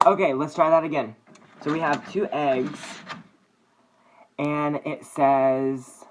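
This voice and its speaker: A man's voice: on the high side, steady tone, takes it slowly. A young man chatting casually and playfully as he vlogs his cooking in a home kitchen, recorded on a phone at arm's length. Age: young adult. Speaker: vlogger. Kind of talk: casual cooking vlog chat. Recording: clattering dishes and clicks, noisy kitchen.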